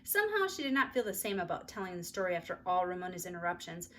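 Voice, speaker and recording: A woman's voice: fairly low pitch, very animated, quick pace, fairly bright tone, slight background noise. Woman reading a storybook aloud. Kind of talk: expressive storybook narration.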